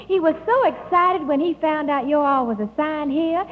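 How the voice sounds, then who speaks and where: A woman's voice: high voice, moderate pace, close to an everyday voice. A woman playing a sweetly cheerful, guileless Southern colonel's daughter with an American Southern accent in 1940s radio comedy. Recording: old hall radio recording, muffled, hissy.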